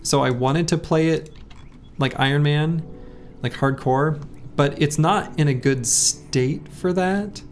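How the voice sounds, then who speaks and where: A man streaming a game, chatting casually with viewers in a rambling way, his voice a little hoarse. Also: on the high side, takes it slowly, a little hiss or hum. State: slightly hoarse. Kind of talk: casual live-stream chat while playing. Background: faint game music.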